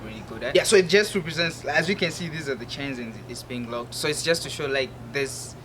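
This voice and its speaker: A man's voice: a little high, fairly quick, sharp tone. A man, answering interview questions in an earnest, loose way full of fillers. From Malawi. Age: 20s. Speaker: guest artist. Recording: noisy room with air conditioning hum.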